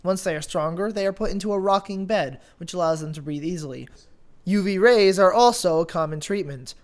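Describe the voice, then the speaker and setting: A woman's voice: lowish voice, a little fast. A young woman reading scripted news as an anchor in a mock newscast. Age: young adult.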